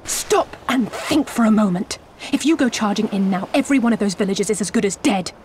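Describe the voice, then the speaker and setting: A level-headed female strategist played by a woman talks in a medium-pitched voice over faint ambience. She is serious, measured and articulate, with the formal wording of a fantasy game. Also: animated, a little mellow, a little hiss or hum, close to an everyday voice. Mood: cautioning. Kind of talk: video game voice acting.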